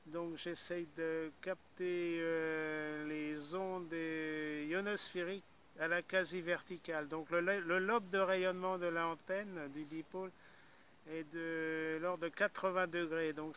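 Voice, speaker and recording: A man's voice: a little high, fairly bright tone, slight background noise. A man chatting casually as an amateur radio operator, talkative and hesitant, dotting his talk with interjections.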